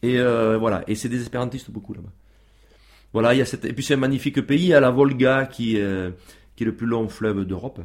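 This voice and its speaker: A man's voice: medium pitch, brisk. A man as a guest on a radio show, talking casually with many fillers and restarts.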